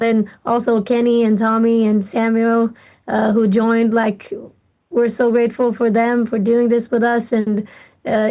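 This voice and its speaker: Bright Swedish-accented woman musician. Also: on the high side. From Sweden. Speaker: musician guest.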